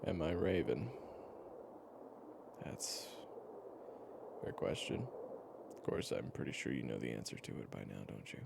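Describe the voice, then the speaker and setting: Man acting as a very deep, gravelly, weary storyteller. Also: sing-song, noisy background, heavily acted. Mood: subdued, reflective. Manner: casual, halting, with sighs and pauses. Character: weary, gentle male storyteller with a regretted past. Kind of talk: intimate audio roleplay addressed to the listener.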